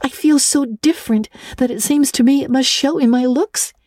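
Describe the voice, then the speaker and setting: A woman voicing a dreamy, dramatic young girl in a storybook, breathy and earnest. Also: sits high, moderate pace, a little mellow, no room sound, clean studio sound, pitched above the performer's own voice. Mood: breathless wonder.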